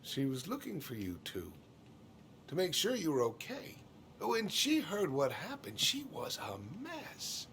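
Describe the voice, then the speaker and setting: Middle-aged store boss, folksy, hesitant, evasive, animated pitch, faint background noise, video game dialogue. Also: male voice, a little slow, exaggerated voice. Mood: guarded.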